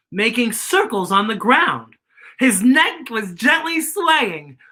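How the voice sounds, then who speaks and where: A woman hosting children's story time, reading a picture book expressively and deliberately explaining it to young listeners. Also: mid-range voice, bright, forceful tone.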